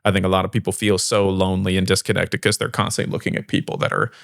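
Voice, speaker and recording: A man's voice: deep voice, flat delivery, rapid-fire, vocal fry, dry recording, studio quality. An American man hosting a podcast, speaking casually and conversationally in a joking, self-deprecating way. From small-town Missouri, United States. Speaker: podcast host.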